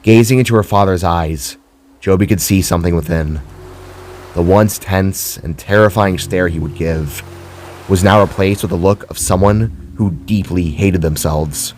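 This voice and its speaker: A man's voice: sits low, moderate pace, darkish tone, a little hiss or hum. A man reading scripted dramatic fiction as a narrator.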